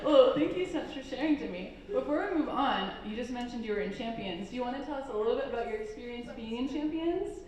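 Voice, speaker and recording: A woman's voice: fairly high pitch, animated, fast, few pauses. A woman emceeing a live panel on stage, upbeat and cheerful, laughing often. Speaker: event emcee. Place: large echoey event hall with audience. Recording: echoey hall, crowd and room noise.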